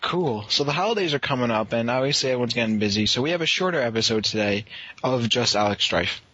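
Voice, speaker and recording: A man's voice: medium pitch, expressive, fast, sharp tone, slightly rough. Man hosting a podcast, slightly dull audio. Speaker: podcast host. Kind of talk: casual podcast chat.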